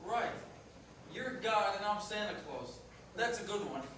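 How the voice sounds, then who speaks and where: A skeptical, plainspoken cab driver, played by a man in a stage play, with a notably high voice for a man. He is heard from far off in a very echoey, noisy hall. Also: expressive, measured pace, a bit bright. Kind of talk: live staged play reading.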